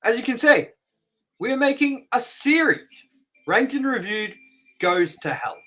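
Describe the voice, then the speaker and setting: A man hosting a review show, rambling and excitable as he wraps up the episode with plugs. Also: extremely high pitch, unhurried, poor audio. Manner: rambling and excitable, with sound-effect noises. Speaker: host. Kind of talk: casual episode wrap-up with plugs.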